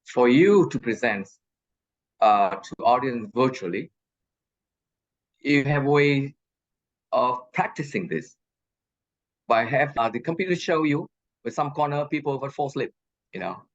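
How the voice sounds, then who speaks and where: A man who is not a native English speaker, giving a lecture and answering questions on a video call, halting with many fillers and restarts. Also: medium pitch, expressive, highs cut off. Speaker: guest lecturer. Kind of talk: online lecture Q&A.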